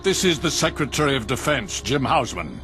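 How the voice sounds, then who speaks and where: An actor with an American accent playing a cold, condescending government official in a video game cutscene, smug in manner. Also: male voice, medium pitch, very animated, brisk, music in the background, lightly acted. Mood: smug, dismissive.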